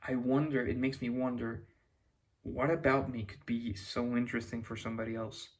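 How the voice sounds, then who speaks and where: A man's voice: medium pitch, level tone, normal speed. A young man with a Mexican accent talking to camera in an unscripted, reflective ramble, often restarting and hunting for words. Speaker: YouTuber.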